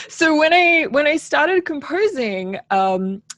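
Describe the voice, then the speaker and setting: A woman's voice: sits high, very animated, takes it slowly, a bit bright, no echo. A woman in her forties with an Australian accent, answering interview questions in a chatty, storytelling way as a composer.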